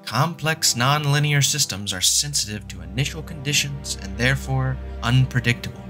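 A man's voice: medium pitch, normal speed, music in the background, close to an everyday voice. A man voicing a confident, sardonic male mathematician who explains things patiently and emphatically in a fan audio drama. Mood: lecturing. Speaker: fan voice actor.

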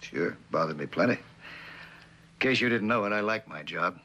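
A low, halting, earnest company man. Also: lively intonation, sharp tone, highs cut off. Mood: weary, resolute. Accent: American. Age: middle-aged. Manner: halting, deliberate, sober. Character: earnest, conscience-stricken company man testifying for another. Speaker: actor playing a witness. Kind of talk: 1960s television drama acting.

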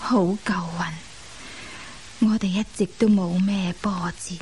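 Slow, reflective woman storyteller, played by an actress. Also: mid-range voice, steady tone, a little mellow, slight background noise, natural voice, poor audio. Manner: unhurried, measured recollection. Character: reflective woman recounting her married past. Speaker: radio drama actress, narrating character. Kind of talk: Cantonese radio drama storytelling.

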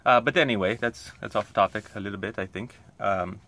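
A man telling a comic story in an earnest, rambling way, pausing often. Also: lowish voice, vocal fry, sharp tone. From Poland. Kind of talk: comic monologue.

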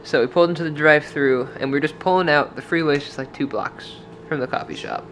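A man's voice: on the high side, fast, a bit bright, a little hiss or hum. A young man from Washington state, talking into a handheld camera in a dim motel room and telling a rambling, casual story full of "like" fillers. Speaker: vlogger. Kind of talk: casual travel vlog with voice-over. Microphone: camera held at arm's length.

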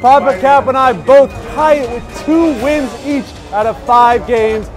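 A man's voice: extremely high pitch, unhurried. Hyped young man filming at a stadium. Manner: hyped, loud outbursts. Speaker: vlogger. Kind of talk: excited running commentary. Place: outdoor stadium golf bays at night, rain. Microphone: action camera held at arm's length. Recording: noisy outdoor crowd and music. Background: crowd chatter and music.

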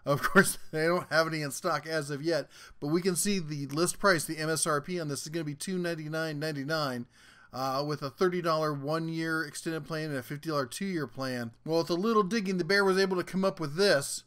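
A man's voice: on the high side, fairly quick, a bit bright. A man hosting a tool review channel, giving a casual, folksy and jokey rundown of a new product as a voice-over.

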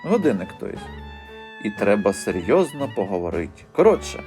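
A man's voice: on the low side, big pitch swings, a little fast, darkish tone, soft background music. A man voicing a sly, mocking teenage street-gang boy in an audiobook, sneering with fake politeness and swaggering slang.

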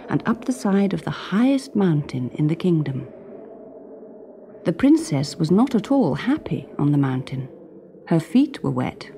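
A woman with a British accent reading a children's story as an expressive narrator. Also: fairly low pitch, a little hiss or hum.

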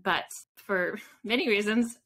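Bright-voiced woman, casual interview guest. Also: mid-range voice, takes it slowly. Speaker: podcast guest, author. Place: home room with bookshelves. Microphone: video call.

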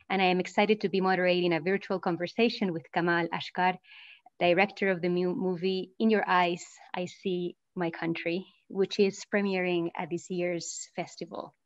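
A woman in her thirties moderating an interview on a video call, speaking in a formal, measured way. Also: fairly low pitch, no echo. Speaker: moderator, researcher. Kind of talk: scripted welcome then interview questions. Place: room at home. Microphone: laptop mic on a video call. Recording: dull, dry laptop audio.

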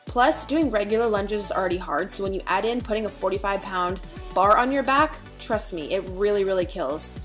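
A young woman talks through her day in a bright, chatty vlog voice, peppered with casual fillers and easy enthusiasm. Her pitch sits right in the middle. Soft music plays faintly underneath. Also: animated, fairly quick. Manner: chatty, upbeat, lots of "like" and "you guys". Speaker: lifestyle vlogger. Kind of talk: casual try-on and workout vlog narration.

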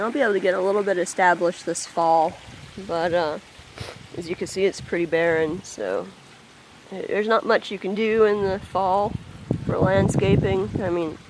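A person talking casually outdoors by a backyard pond into a handheld phone, rambling and hesitant with many pauses and ums. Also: medium pitch, a little hiss or hum, poor audio. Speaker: vlogger. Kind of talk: casual spoken vlog. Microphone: phone held by the speaker.

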